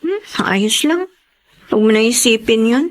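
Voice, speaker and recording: Lively, high-voiced hardworking elder sister. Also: female voice, unhurried. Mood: weary, forgiving. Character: hardworking, self-sacrificing young woman, eldest sister. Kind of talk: Tagalog radio drama acting.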